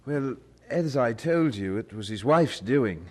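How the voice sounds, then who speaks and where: An actor playing a naive, polite young man in an 18th-century audio drama, with a husky voice and unworldly manner. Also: medium pitch, expressive, a little slow, a little mellow. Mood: friendly, candid.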